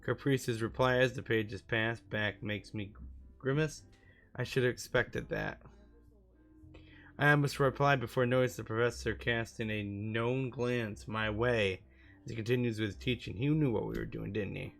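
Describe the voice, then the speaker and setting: A man's voice: mid-range voice, fairly even intonation, normal speed, gravelly, fairly bright tone. A man reading a visual novel aloud as a gaming YouTuber, sometimes stumbling and rereading phrases. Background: music from the game.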